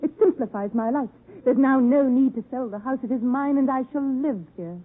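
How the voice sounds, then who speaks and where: Husky, proud young gentlewoman, vintage radio. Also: high voice. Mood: proud, haunted. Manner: measured, formal, melodramatic. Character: proud, wounded young English gentlewoman, withdrawn from world. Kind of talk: 1950s radio drama acting. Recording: tinny vintage 1950s radio, music behind.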